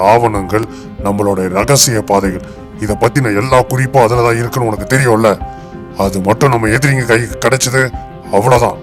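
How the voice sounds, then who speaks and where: A man voicing a stern, anxious royal minister with an authoritative, scolding manner in an animated tale. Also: mid-range voice, lightly acted. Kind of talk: animated moral story dialogue.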